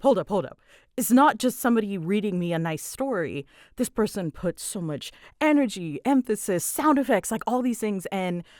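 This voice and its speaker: A woman's voice: mid-range voice, no room sound. A woman chatting casually and dramatically in a scattered, self-deprecating way, her voice swooping up and down, recorded close on a mic in a small closet. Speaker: ASMR audio creator and voice performer. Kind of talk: casual rambling Q&A chat.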